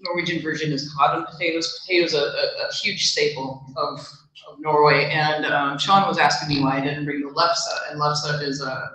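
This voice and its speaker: A woman's voice: low voice, flat delivery, moderate pace, pushed and bright. A woman in her thirties from Wisconsin, giving a chatty public talk in a library meeting room and answering audience questions with easy laughs. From Wisconsin, USA. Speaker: guest speaker at a library lunch talk. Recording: roomy echo of a meeting hall.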